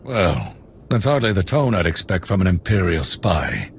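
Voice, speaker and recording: An actor playing a blunt veteran officer in charge of a military outpost, speaking dry and matter-of-fact. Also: male voice, deep voice, big pitch swings, moderate pace, a little hiss or hum. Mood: gruff. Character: gruff male outpost commander, blunt veteran. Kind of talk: video game cutscene.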